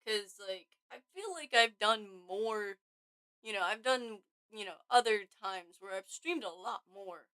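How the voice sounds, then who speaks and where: A young woman talks through her game code live to her chat, slow and unhurried but with a bright, edgy tone. Her voice sounds worn from too much talking this week, and she rambles, trails off and breaks into her own sentences. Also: mid-range voice, animated. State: voice tired from overuse. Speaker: game developer streamer. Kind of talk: casual live-stream chat while coding.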